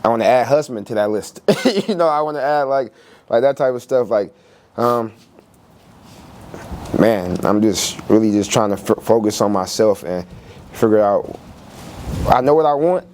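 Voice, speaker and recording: A man in his twenties, a podcast guest, talking in a relaxed, rambling way with lots of fillers and laughs. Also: on the low side, moderate pace, pauses often. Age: 20s. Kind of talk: casual podcast chat.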